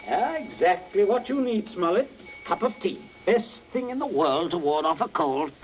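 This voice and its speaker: A man's voice: high voice, very animated, normal speed. An actor playing a suave, commanding father who holds others at gunpoint in a 1940s radio drama. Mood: falsely genial. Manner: suave, commanding, breezy menace. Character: cold, genial middle-aged father with a gun, menacing. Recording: tinny lo-fi archive radio with faint hiss.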